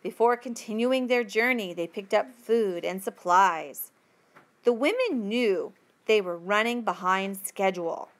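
A woman reading a textbook passage aloud as a teacher would, with careful, deliberate phrasing. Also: medium pitch, animated.

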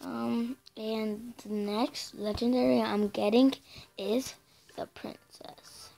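Raspy woman channel host, slow and hesitant, mumbling asides between louder bursts. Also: a little high, fairly even intonation. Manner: halting and filler-heavy, drifting between loud bursts and mumbles. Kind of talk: casual game commentary over a screen recording.

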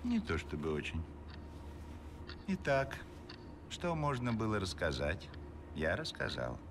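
An actor playing a formal, secretive agent of a shadowy firm in a noir cartoon, officious and theatrical. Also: male voice, on the low side, huge pitch swings, measured pace, background noise. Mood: cool, formal. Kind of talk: dubbed noir animation acting. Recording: music under the voice.

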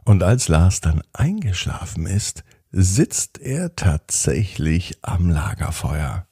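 A man hosts a children's podcast, narrating a bedtime story expressively with drawn-out phrases. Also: deep voice, sing-song, mellow, slightly rough, no room sound, studio quality.

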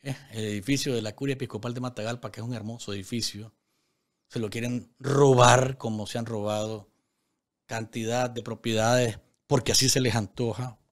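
A man delivers news commentary from a small studio desk microphone. He talks straight to his viewers, emphatic and indignant, his voice sitting at an ordinary middle pitch and often pausing on fillers. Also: steady tone. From Nicaragua. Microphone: desk microphone on stand.

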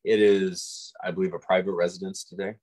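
Middle aged guy w a low raspy voice, casual chat over video call from home. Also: little pitch movement, a little fast, a little mellow. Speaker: co-host. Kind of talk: casual conversation. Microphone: device mic on a video call.

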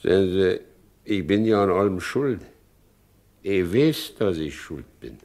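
An actor playing an aging, broken, guilt-ridden carter in a 1960s radio play, speaking in a brooding, halting, plain way. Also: male voice, low-pitched, lively intonation, a little mellow. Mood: weary, resigned. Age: middle-aged.

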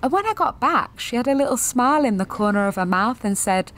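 A woman's voice: a little high, animated, a little fast, a bit bright. An actress in her twenties playing a jaded, sweary, sensitive gallery attendant in a confessional audio drama monologue, wry and self-deprecating. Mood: rueful. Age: 20s.

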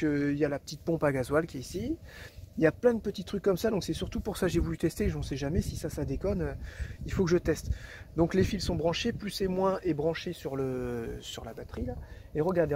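A man in his thirties, filming himself with a handheld phone outdoors beside a bus, casually explaining his DIY work step by step with lots of fillers. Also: a little high. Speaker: vlogger converting a bus into a camper. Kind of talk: casual DIY vlog talk to camera. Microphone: phone held at arm's length.

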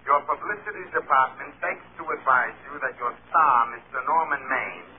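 A sardonic publicity man, acted by a man in a vintage radio play. He is brisk and mock-formal, mid-pitched, on a tinny and clearly noisy recording. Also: animated, close to an everyday voice. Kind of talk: old-time American radio drama acting.

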